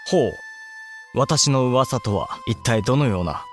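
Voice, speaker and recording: A man plays a young samurai clan lord in a period audio drama. His voice sits mid-range, and he talks with dry, easygoing banter in old-fashioned warrior speech. Music plays softly behind him. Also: medium pitch, lively intonation, a little slow, close to an everyday voice. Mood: amused, bemused. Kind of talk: Japanese period voice drama acting.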